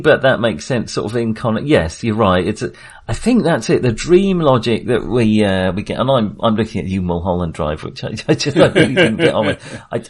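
A man's voice: fairly low pitch, breathy edge. A man talking casually as a film podcast host, in a hesitant, rambling but enthusiastic way.

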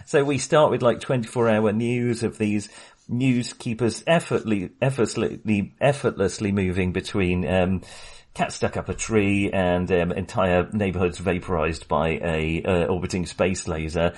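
Man, middle-aged, lowish voice, podcast host, casual film talk, wry, ironic. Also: steady tone, measured pace, a little mellow.

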